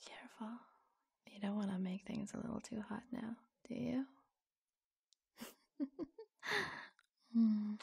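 A woman playing a flirtatious, teasing office lover in an intimate ASMR roleplay, breathy and husky, often dropping to a whisper. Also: mid-range voice, steady tone, warm tone, exaggerated voice. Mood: sultry, hushed. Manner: slow, coy, teasing, giggly. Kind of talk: ASMR roleplay, intimate romantic audio drama.

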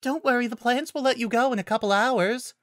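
A narrator voicing a timid, gentle, apologetic young female druid in a fantasy story, soft-spoken, nervous and hesitant. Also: mid-range voice, brisk, no room sound, studio quality, voice pushed up, lightly acted. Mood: meekly polite. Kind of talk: audiobook-style fantasy story character.

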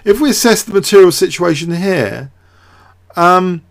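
Higher-voiced man thinking aloud. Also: lively intonation, a little slow, vocal fry. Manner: hesitant, thinking aloud with repeated words. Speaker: host of a chess show. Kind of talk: off-the-cuff game commentary and analysis.

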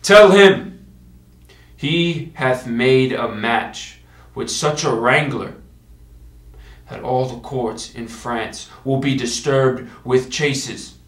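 A man performing a Shakespearean monologue as a proud, vengeful young king, declaiming deliberately with dramatic pauses. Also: mid-range voice, a bit bright. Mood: rousing, threatening. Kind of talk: Shakespearean stage monologue in verse. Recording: echoey room.

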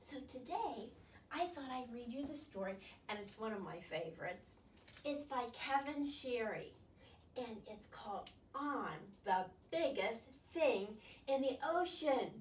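Woman in her sixties, children's storytime, slow pace, coaxing questions, roomy distant recording. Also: on the high side, expressive. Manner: exaggerated wonder, coaxing questions. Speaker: children's librarian. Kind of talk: storytime read-aloud to young children. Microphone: distant camera microphone. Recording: roomy, distant camera mic, faint noise.

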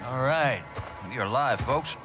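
An actor with a Southern American accent playing a cocky, menacing military contractor who drawls folksy threats in a game. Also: male voice, mid-range voice, measured pace, music in the background, natural voice.

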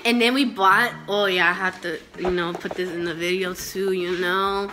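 A woman's voice: on the low side, fairly even intonation, normal speed, noisy background. A young woman with a head cold, sounding nasal, chatting casually and swearing freely into a phone held at arm's length at home. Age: young adult. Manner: casual and swearing, mixes in Spanish. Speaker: vlogger. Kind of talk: casual vlog chat. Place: home living room, then a car at night.

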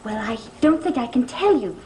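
A woman's voice: fairly high pitch, lively intonation, normal speed, darkish tone, a little hiss or hum, lightly acted. A young actress playing a playful, wilful socialite in a gothic soap opera, girlish and coaxing. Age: young adult. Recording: old TV soundtrack, music behind.

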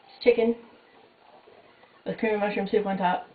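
A woman's voice: medium pitch, fairly even intonation, moderate pace. Young woman, wry home cooking host, tinny echoey camcorder sound with hiss. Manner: wry, self-mocking, offhand asides. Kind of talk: casual jokey cooking demo. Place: small home kitchen at night. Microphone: fixed camera mic across the kitchen.

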